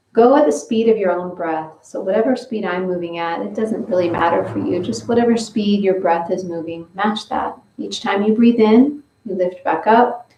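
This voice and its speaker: A woman leading a guided breathing and stretching session, giving slow, gentle step-by-step instructions. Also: medium pitch, distant mic, lo-fi sound. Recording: roomy, from away from the mic.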